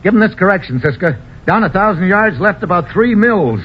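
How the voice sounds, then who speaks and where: An actor playing a tough American adventure hero in old-time radio style, with clipped, slangy, wisecracking delivery and an American accent. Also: male voice, high voice, lively intonation, a little fast, husky. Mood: tense, commanding. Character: tough, quick-thinking American hero, wry and commanding. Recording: noisy, muffled old radio recording.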